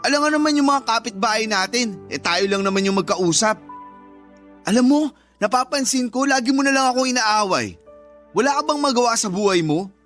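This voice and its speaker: A very high-pitched, defensive husband. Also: male voice, lively intonation, fairly quick, a bit bright. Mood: irritated. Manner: dismissive, defensive, argumentative. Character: insecure, prideful, defensive working-class young husband. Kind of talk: Tagalog radio drama acting. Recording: music bed behind the voice.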